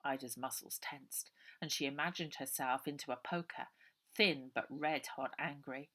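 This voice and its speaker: A woman's voice: a bass voice, normal speed, fairly bright tone. A woman reading a children's novel aloud as scripted story narration.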